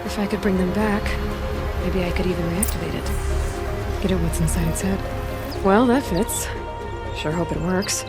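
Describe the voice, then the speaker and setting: A woman's voice: fairly low pitch, moderate pace, lightly acted. A woman playing a headstrong, skeptical young huntress in a video game, with a dry, determined delivery. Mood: musing. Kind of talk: naturalistic video game acting. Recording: very loud music behind.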